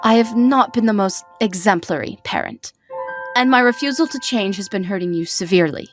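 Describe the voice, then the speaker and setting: A woman playing an apologetic, loving mother in a dramatic comic dub, speaking in formal, measured phrases, gentle but firm. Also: medium pitch, lively intonation, lightly acted. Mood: solemn, remorseful. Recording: dub audio with music behind. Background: music.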